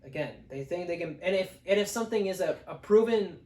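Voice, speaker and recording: A man's voice: high-pitched, animated, brisk, mellow, distant mic. Young man chatting, roomy echoing sound. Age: young adult. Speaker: film review co-host. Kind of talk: casual chat review.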